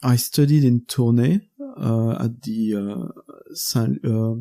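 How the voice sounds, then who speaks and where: A man in his thirties with a Belgian French accent, talking as a guest on a podcast interview in English, his second language. His voice is gravelly and mellow, and he speaks haltingly, stopping often to find the right word. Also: medium pitch. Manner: halting and searching for words. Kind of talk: casual conversational interview.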